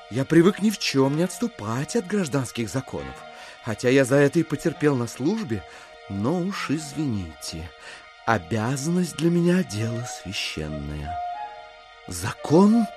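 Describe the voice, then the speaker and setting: An actor playing a courteous, calculating gentleman in an audio drama, speaking in formal, measured and insinuatingly polite phrases. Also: medium pitch. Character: smooth-talking, courteous, calculating gentleman schemer. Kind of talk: theatrical radio-play acting.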